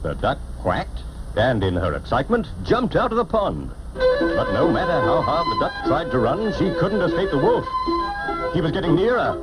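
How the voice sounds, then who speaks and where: Animated male storyteller over loud music. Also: a little high, moderate pace, low-quality recording. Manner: theatrical, expressive storytelling. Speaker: narrator. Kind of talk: dramatic children's storybook narration for an animated film. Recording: old cartoon film soundtrack, loud music. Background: orchestral music.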